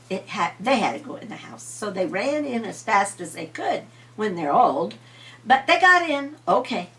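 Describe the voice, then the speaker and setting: A woman's voice: on the high side, bright, forceful tone, recorded from a distance. An older woman, a grandmother, telling bedtime stories in a homely, dramatic way. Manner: homely, dramatic, repeats refrains with emphasis. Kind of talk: animated storytelling for children.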